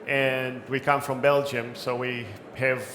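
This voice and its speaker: A man with a non-native English accent giving a brisk, practical conference talk, with light jokes. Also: medium pitch, flat delivery, fairly bright tone. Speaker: conference speaker. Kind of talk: talk with audience questions. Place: event hall. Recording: echoey room, faint background noise.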